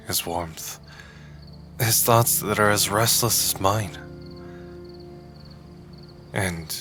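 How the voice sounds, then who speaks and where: A man's voice: deep voice, a bit bright, heavily acted. An actor playing a weary, guarded man, a resistance fighter in an audio drama, speaking with restraint. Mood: weary, introspective. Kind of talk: audio drama acting with introspective narration.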